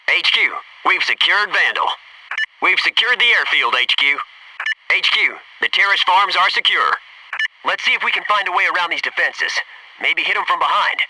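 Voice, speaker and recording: A man's voice: a little high, recorded from a distance, background noise, lightly acted. A man playing a disciplined, alert American Navy SEAL squad mate in a military video game, giving clipped, businesslike tactical reports. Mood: confident, casual.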